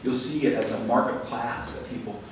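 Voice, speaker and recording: A man answers audience questions at a library event, talking quickly and explaining at length with plenty of ums and restarts. He is heard from a distance in a very echoey hall, with steady room noise underneath. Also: mid-range voice. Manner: thoughtful, explanatory, frequent fillers and restarts. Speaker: author speaking at a library event.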